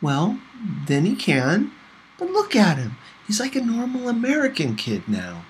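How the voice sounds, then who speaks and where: A man reads the part of an earnest teenage girl in a storybook. The voice is lifted and lively, tentative and probing, turning a little defensive as it insists. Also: high-pitched, unhurried, slight background noise, voice pushed up, full character voice, low-quality recording.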